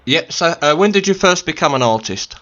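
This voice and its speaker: A man's voice: fairly high pitch, animated, a little fast, a bit bright, dull audio. A man hosting a radio interview, asking informal questions and stumbling over his words a little. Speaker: radio show host.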